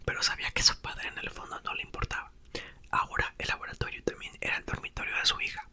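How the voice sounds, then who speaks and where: A man's voice: fast talker, pushed and bright. Whispering man reading ASMR storybook. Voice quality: breathy, unvoiced whisper. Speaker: ASMR creator reading a book aloud.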